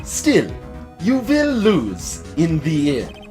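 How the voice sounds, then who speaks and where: A man's voice: a little high, wildly swooping pitch, extremely slow, darkish tone, faint music behind, full character voice. A man voicing an arrogant, menacing older male prosecutor in a video game, pompous and theatrical, full of contempt. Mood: contemptuous.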